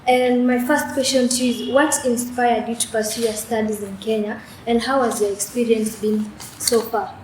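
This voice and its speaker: Young female talk show host; bright higher voice, halting delivery, roomy echo, light hiss. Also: fairly even intonation. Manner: stumbling, repeats words before questions. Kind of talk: interviewing. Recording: echoey room with faint noise.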